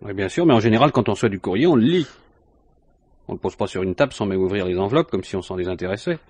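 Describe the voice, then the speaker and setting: An actor playing a suspicious police inspector in a 1950s French radio mystery, questioning insistently with dry understatement. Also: male voice, on the low side, animated, fast, darkish tone, slightly rough, no echo. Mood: brisk, dismissive.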